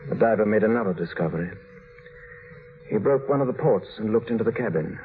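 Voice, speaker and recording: Actor as tormented husband, very low voice, grave and bitter, 1950s radio drama, thin phone-like sound. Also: male voice, lively intonation, fairly quick, natural voice. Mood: grim, resigned. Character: tormented, brooding husband burdened by guilt. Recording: thin, faintly noisy vintage radio recording.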